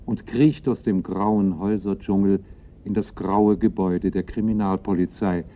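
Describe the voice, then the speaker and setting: Man as low-voiced radio crime narrator. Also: steady tone, natural voice. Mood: atmospheric. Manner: measured, literary. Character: dry, atmospheric male narrator of a crime story. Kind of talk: scripted radio play narration. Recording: dull, lo-fi old radio recording.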